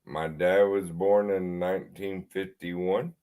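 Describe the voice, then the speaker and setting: A man's voice: sits low, fairly even intonation, slow pace, warm tone, clear, resonant tone. A man in his forties chatting casually, swearing and stammering between laughs. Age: 40s. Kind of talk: casual chat.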